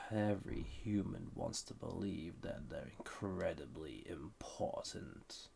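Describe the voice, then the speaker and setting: Man voicing a very gravelly, flirty villain. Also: deep voice, expressive, slow, darkish tone, heavily acted. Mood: haughty, musing. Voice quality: husky, gravelly. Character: flirty, dangerous banished male villain, condescending toward humans. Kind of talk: roleplay audio drama monologue.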